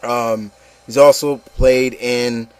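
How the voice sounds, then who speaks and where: A man hosts a casual group discussion in a dry, close recording. His pitch sits in the middle. He speaks emphatically and opinionatedly, circling back and repeating phrases to drive a point home. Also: fairly even intonation, takes it slowly, clean tone, dry recording. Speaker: host of an online opinion show.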